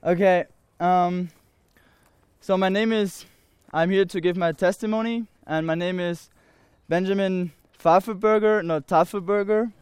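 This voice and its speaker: A nineteen-year-old man from Austria with an Austrian German accent, giving an earnest personal testimony to an audience in halting English full of pauses and restarts. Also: high voice, steady tone, smooth, dry recording.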